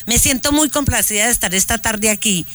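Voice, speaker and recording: A woman's voice: a little high, brisk, a bit bright. A woman with a Colombian coffee-region accent, a tourist speaking on live radio in a gracious, enthusiastic way. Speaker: visiting tourist. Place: outdoors in a town park.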